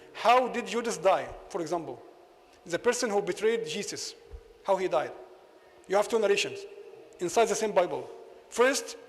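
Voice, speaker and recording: A high-voiced man with an Egyptian accent lectures in accented English. He argues his case and keeps asking the listeners rhetorical questions. His voice rings with long reverb in a mosque hall. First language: Arabic. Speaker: imam.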